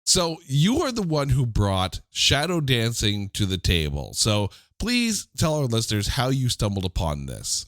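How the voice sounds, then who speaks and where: A man's voice: mid-range voice, huge pitch swings, a little slow, hardly any pauses, fairly bright tone, dry recording, clean studio sound. A man with a stuffy, slightly nasal voice hosting a casual music podcast, joking with listeners in mock-dramatic asides. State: congested, under the weather. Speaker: podcast host.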